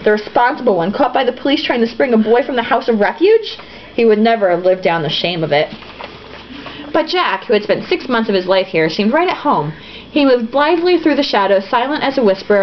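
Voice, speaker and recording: A woman with a fairly high, very lively voice reads a story casually and irreverently, dropping in sarcastic, sweary commentary. The recording is a muffled, lo-fi home one with faint noise. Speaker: host reading a novel aloud with joking asides. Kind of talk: informal comic read-aloud with casual, profane asides.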